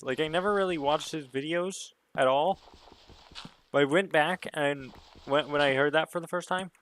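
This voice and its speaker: A man with a somewhat deep voice chatting casually to himself as he streams a game, rambling and musing. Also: fairly bright tone, rough recording. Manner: rambling, self-musing talk. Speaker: gaming livestreamer. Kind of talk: casual solo gaming stream chat.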